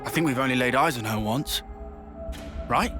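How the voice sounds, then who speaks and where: A man's voice: mid-range voice, moderate pace, lightly acted. An actor playing a brash young male soldier in a video game, with a casual, pushy way of talking. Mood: brash, impatient. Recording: loud music behind.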